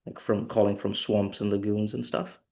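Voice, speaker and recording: Brief: deep-ish man from Thailand, easygoing, talking on a video call. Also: flat delivery, normal speed, gravelly, warm tone. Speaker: co-host of an online workshop. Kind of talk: casual online session chat.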